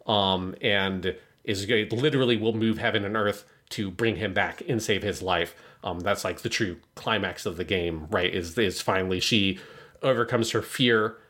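Bright-voiced man rambling through a podcast review. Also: fairly low pitch, moderate pace. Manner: rambling, hesitant, frequently swearing. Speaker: podcast co-host. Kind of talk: casual in-depth review discussion.

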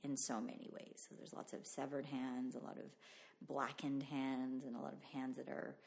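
A woman's voice: a bass voice, level tone, normal speed, creaky, a little mellow. A woman talking casually and reflectively about her own work as an interview guest. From Canada. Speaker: interview guest, visual artist.

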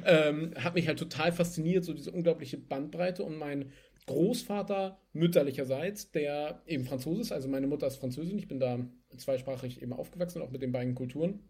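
A man's voice: a little high, brisk, husky. Chatty young man telling his story. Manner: lively and chatty, laughs while telling stories. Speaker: guest, spirits entrepreneur. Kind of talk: casual interview storytelling.

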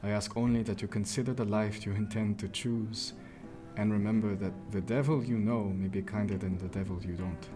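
An actor playing an earnest, protective ship's surgeon in a period radio drama, with formal, cautious phrasing. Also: male voice, lowish voice, steady tone, brisk, over music, lightly acted. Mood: grave, measured. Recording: clearly noisy, ambient sound behind.

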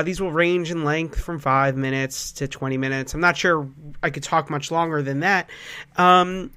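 A man's voice: a little high, bright, forceful tone. A young man hosting a casual solo podcast, rambling with many fillers and restarts, sounding nasal from a cold. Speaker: podcast host.

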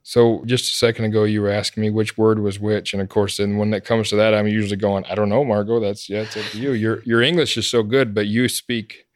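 A man's voice: lowish voice, flat delivery, brisk, hardly any pauses, no echo, studio quality. A man hosting a podcast interview, friendly and prompting, often repeating the guest's words back. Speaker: podcast host. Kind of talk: casual interview chat.

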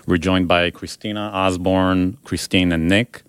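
A man with a very low voice hosts a podcast, introducing guests in a friendly, organized way. His tone comes across smooth and resonant. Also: level tone, a little slow, fairly bright tone. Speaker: podcast host. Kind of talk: casual podcast hosting.

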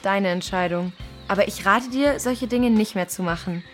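A woman playing a kind, level-headed girl who reasons calmly and earnestly in a children's audio drama. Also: mid-range voice, moderate pace, faint music behind, lightly acted. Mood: firm, advising.

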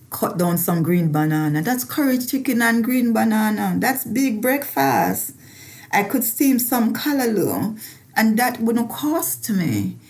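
Jamaican lady farmer, low voice, interview style, repeats stuff to drive it home. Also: darkish tone. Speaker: farmer and agricultural researcher. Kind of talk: personal interview talk.

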